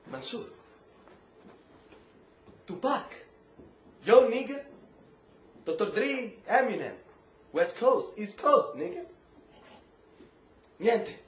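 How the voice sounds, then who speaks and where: An actor playing a brash, friendly streetwise prisoner in a radio drama, with slangy, coaxing talk. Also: male voice, very high-pitched, very animated, drawn-out words, long pauses, heavily acted. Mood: teasing. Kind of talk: stage-style radio drama acting. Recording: roomy echo, faint music behind.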